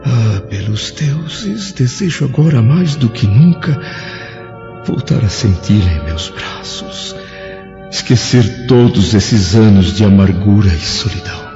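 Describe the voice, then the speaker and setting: An actor playing a proud, lovesick Roman senator in a radio drama, declamatory and yearning. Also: male voice, fairly low pitch, expressive, unhurried. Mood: proud longing. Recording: dull lo-fi, clear music behind.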